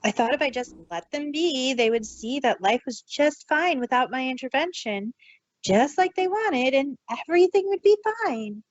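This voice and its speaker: Woman playing a high, fretful young pony princess. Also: expressive, lo-fi sound. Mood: upset, dejected. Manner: fretful, halting, pleading. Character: young, earnest, dejected deposed pony princess.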